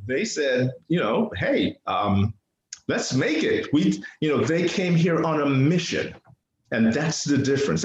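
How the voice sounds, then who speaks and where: A man's voice: medium pitch, dull audio. An older man from New City, telling personal stories casually and animatedly, repeating phrases for emphasis. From New York City, United States. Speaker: podcast guest, artist. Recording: roomy, echoing interview sound.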